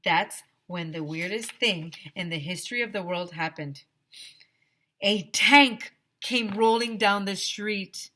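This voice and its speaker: A woman teacher reading a children's story aloud in an expressive, dramatic, emphatic storytelling manner. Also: mid-range voice, takes it slowly.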